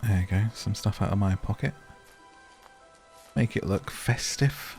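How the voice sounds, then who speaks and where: A man's voice: lowish voice, measured pace, vocal fry, a little mellow. Playful British man chatting over game music. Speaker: gaming video host. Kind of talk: casual gameplay commentary. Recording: music under the voice.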